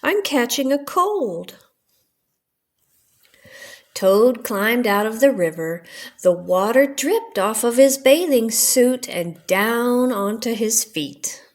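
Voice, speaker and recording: A woman's voice: fairly high pitch, lively intonation, slow, a little mellow. An older woman narrating a children's storybook as a storyteller.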